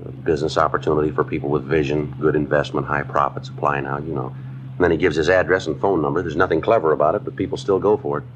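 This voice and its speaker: Very deep, deadpan police detective. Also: male voice, fast, dull audio, slight background noise. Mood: businesslike. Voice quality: flat, terse delivery. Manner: deadpan, businesslike. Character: terse, matter-of-fact male police detective sergeant. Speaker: narrator and lead actor of a police series. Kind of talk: 1950s radio drama acting, scripted narration. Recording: 1950s radio recording with music.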